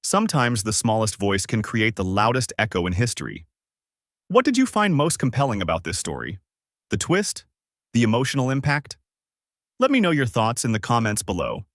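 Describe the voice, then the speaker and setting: A man reading a scripted dramatic story in a measured, polished storyteller's delivery. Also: mid-range voice, big pitch swings, a bit bright, dry recording, studio quality. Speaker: narrator of an online story channel.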